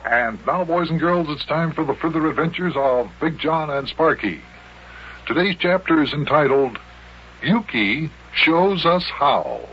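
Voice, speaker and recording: A man's voice: a little high, expressive, measured pace, heavy background noise. A man with an American accent playing a patient, fatherly storyteller in a 1950s children's radio show. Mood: storytelling. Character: Big Jon, patient fatherly grown-up storyteller and companion. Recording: muffled old radio recording, music behind.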